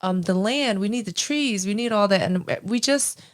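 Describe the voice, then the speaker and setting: A young woman co-hosting a casual podcast, blunt and animated, swearing freely as she talks. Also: medium pitch, moderate pace. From Cambodian-American family. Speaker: podcast co-host. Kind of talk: casual chat.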